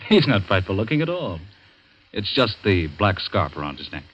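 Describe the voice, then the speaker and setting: Casting: paternal, commanding physician in radio thriller. Husky, very animated delivery, reassuring and condescending. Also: male voice, fairly low pitch, moderate pace, exaggerated voice, rough recording. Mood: dismissive, coaxing. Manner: authoritative, patronizing, reassuring. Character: firm, paternal male doctor soothing a frightened patient. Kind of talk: 1940s radio thriller acting.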